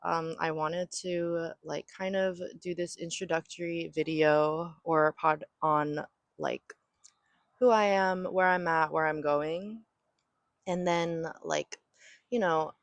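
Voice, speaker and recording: Young woman from socal, low voice, rambling solo podcast chat w lots of likes and ums, dry close sound. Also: fairly even intonation, slow pace, dry recording. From raised in Southern California. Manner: rambling, filler-heavy, frequent "like" and "um". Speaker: podcast host. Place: home near an airport.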